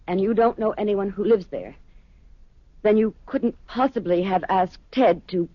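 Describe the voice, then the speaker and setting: An actress playing a hurt, determined middle-aged wife in a radio drama. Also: female voice, medium pitch, measured pace, dry recording, lightly acted. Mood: hurt, probing. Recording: dull vintage radio recording.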